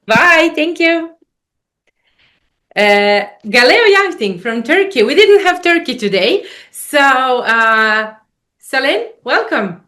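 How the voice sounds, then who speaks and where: A woman hosting an online meeting, introducing speakers with short, brisk prompts and thanks. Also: sits high, sing-song, bright, forceful tone. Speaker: webinar host. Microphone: video call.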